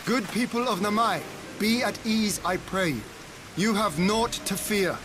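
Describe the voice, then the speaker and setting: An actor playing a calm, noble young lord in a game cutscene, speaking in formal, archaic, measured phrases. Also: male voice, high-pitched, background noise. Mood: reassuring. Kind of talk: video game cutscene acting.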